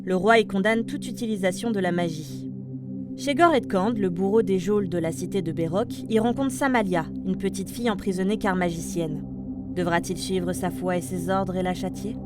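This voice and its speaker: A woman's voice: medium pitch, moderate pace. A woman reading scripted podcast news as presenter, addressing listeners like an announcer. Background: light music.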